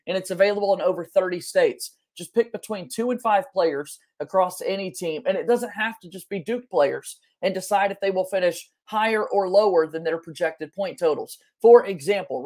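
A man's voice: high voice, darkish tone. A man in his twenties presenting a sports podcast as host from a room at home over a video call. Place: home bedroom or office, indoors. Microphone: video call, webcam framing, wired earbuds.

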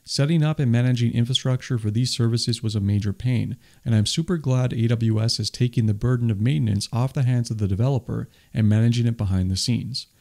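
Male host, scripted recap narration, eager and explanatory, average pitch. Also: brisk, creaky, hardly any pauses, darkish tone. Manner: enthusiastic, explanatory. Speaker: tech video host. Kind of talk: scripted voice-over summary. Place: voice-over, not recorded on the stage pictured.

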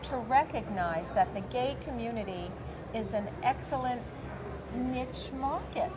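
A woman's voice: fairly high pitch, expressive, unhurried. A middle-aged woman, an expo organizer answering interview questions with lots of fillers. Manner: talkative, many fillers, gestures while talking. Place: large convention center hall, indoors. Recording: busy convention hall crowd noise. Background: crowd chatter.

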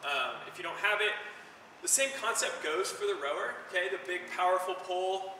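A man's voice: high voice, moderate pace, slight background noise. A young man, a fitness coach, casually walking through technique with lots of fillers and a habit of checking in with "okay?". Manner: relaxed, many fillers, repeats "okay?" to check in. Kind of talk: casual instructional demo. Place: large gym with high walls, indoors. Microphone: camera mic from across the room. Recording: echoey gym from far off.

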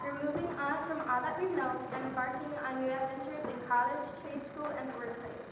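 High-voiced teen girl, echoey hall. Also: steady tone, brisk, background noise. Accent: Michigan English. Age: late teens. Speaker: graduating student. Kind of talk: graduation speech. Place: large auditorium stage. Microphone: podium mic heard from far camera. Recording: echoey auditorium, distant.

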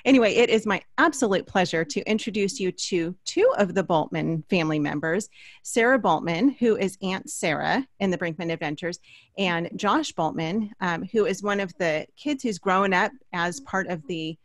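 A middle-aged woman hosts a conversational interview. Her voice sits a little low, and she talks in a chatty, storytelling way. The sound is close and dry, from a small studio. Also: expressive, normal speed, no room sound. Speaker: podcast host. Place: small indoor home studio. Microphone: large studio microphone up close.

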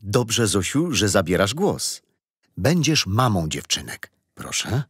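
An actor playing a kindly grandfather who tells his grandchildren bedtime stories gently and expressively in a children's radio play. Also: fairly low pitch, big pitch swings, slow.

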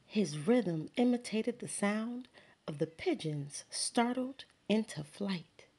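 A woman, a teacher, reading a children's picture book aloud with slow, expressive storytelling. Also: medium pitch, breathy edge. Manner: slow, expressive storytelling with sound words and character voices.

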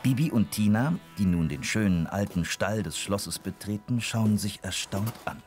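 A man's voice: lowish voice, normal speed, a little mellow, faint music behind. A man narrating a scripted children's audio story.

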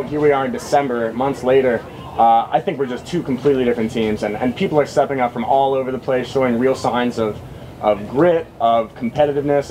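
A young man in his twenties answers questions at an outdoor postgame press table. He speaks steadily and thoughtfully, at a moderate pitch. Clear background noise surrounds the table mic. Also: a little mellow, rough recording. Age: 20s. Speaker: graduate student athlete.

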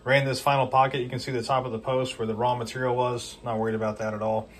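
A man's voice: medium pitch, flat delivery, quick pace, slight background noise. A machine shop owner talking casually and explaining each step of his work as he goes, with fillers, recorded on a handheld phone in his shop. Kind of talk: casual workshop walkthrough. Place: machine shop beside a CNC mill.

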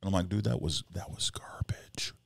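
A man in his forties, co-hosting a podcast in casual chat, rambling and animated as he tells stories with quoted asides. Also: low voice, moderate pace, vocal fry, dry recording, whispered. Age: 40s. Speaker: podcast co-host. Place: small wood-paneled studio room. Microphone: headset and boom mic.

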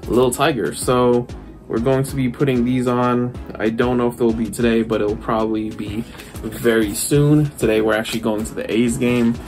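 A young man chatting to camera for his car vlog in a loose, rambling way, filling gaps with "like" and "literally". His voice sits at an ordinary middle pitch for a man. He speaks in easy, unscripted bursts. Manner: rambling, heavy use of "like" and "literally". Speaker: car vlogger. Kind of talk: casual vlog talk to camera.

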